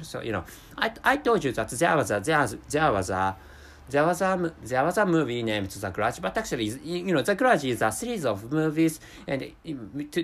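Halting husky-voiced man, native Japanese. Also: on the high side, a bit bright. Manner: halting, frequent restarts and stumbles. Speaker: podcast host. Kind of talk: casual monologue.

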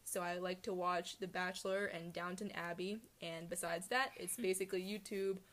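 Young American woman, monotone casual chat. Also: fairly low pitch, normal speed. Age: eighteen. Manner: monotone, self-deprecating. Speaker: podcast co-host.

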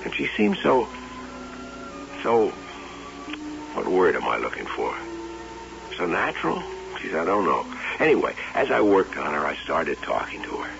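An actor playing an obsessive, excitable carnival showman in an old-time radio drama, by turns musing and defensive. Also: deep voice, a little slow, gravelly, pauses often, music in the background, full character voice. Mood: musing, rueful. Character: obsessive carnival showman and inventor, smitten with his wax doll. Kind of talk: old-time radio drama acting with first-person narration. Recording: muffled, hissy old radio recording.

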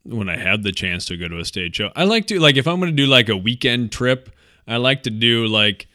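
A man co-hosting a podcast in casual comedic chat, with a jokey, self-deprecating, deadpan way of riffing. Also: medium pitch, a little fast, dry recording, clean studio sound. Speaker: podcast co-host.

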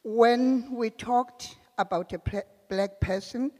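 A woman's voice: on the high side, takes it slowly, warm tone. A woman taking part in a workshop who reads her own writing aloud, tentative and a little self-conscious. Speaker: workshop participant. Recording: echoey room with long reverb.

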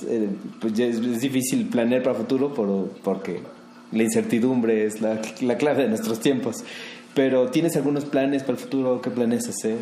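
A man hosts a relaxed interview, his questions chatty and full of small restarts and laughs. His voice is mellow and mid-pitched. The room is echoey, with faint noise behind. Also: moderate pace. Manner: chatty, hesitant, many restarts, laughs often. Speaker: podcast host, interviewer. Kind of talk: casual interview chat.

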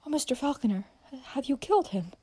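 A woman voicing a frightened, tearful young society lady in an audiobook, pleading in a trembling, breathy voice. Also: sits high, fairly quick, voice pushed up, full character voice. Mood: shaken. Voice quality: breathy, trembling, often whispering. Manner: gasping, excited, pleading.

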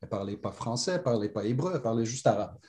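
A man's voice: on the low side, very fast. A middle-aged man with a mixed French, pied-noir and Moroccan accent with a Quebec tinge, telling his life story in a rambling way full of restarts. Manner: rambling, many restarts and fillers, warm and animated. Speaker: guest. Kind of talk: conversational storytelling. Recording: roomy echo.

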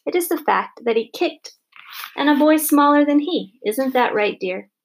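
Lady doing a storybook dad, firm and sensible, kind of lecturing, measured delivery. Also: high-pitched, animated, a little hiss or hum, pitched above the performer's own voice, low-quality recording. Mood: reasoning. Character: firm, reasonable husband and stern father.

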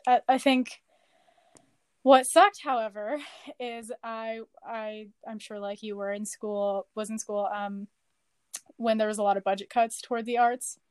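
A woman's voice: fairly high pitch, steady tone, a little slow, darkish tone, clean tone, no echo. A young woman from Southern California hosting a podcast, chatting casually and animatedly with many fillers. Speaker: podcast host. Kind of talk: casual chat.

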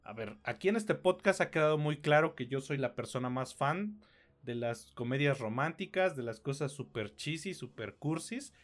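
Man, late thirties, Mexican accent, medium pitch, slangy and sweary, opinionated reviewer, casual podcast chat. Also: lively intonation, normal speed. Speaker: podcast co-host.

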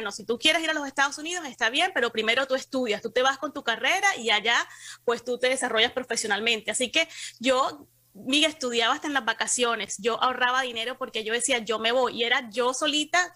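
A woman's voice: on the high side, bright, forceful tone, no echo. A middle-aged woman with a Colombian accent, telling her own story conversationally as an interview guest. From Cartagena, Colombia. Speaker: interview guest, communications professional.

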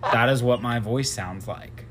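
A man's voice: mid-range voice, a little slow, background noise. A man co-hosting a podcast in casual chat, teasing and self-mocking. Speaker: podcast co-host.

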